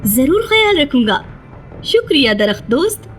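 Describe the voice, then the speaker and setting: A woman's voice: high-pitched, big pitch swings, fairly bright tone, faint music behind. A woman voicing a clever, earnest young village boy in a cartoon, speaking in an eager, pleading way. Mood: delighted.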